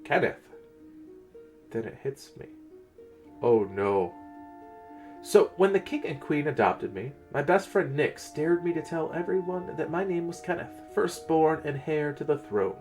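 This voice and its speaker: Guy reading a fantasy story dramatically, very expressive pitch, music playing underneath. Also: measured pace. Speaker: narrator.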